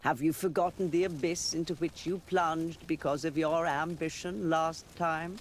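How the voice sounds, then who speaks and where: A man playing a shrewd, sardonic political rival in a video game, speaking in a deliberate, knowing way. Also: a little high, steady tone, a little hiss or hum, close to an everyday voice. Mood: accusing. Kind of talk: video game cutscene acting.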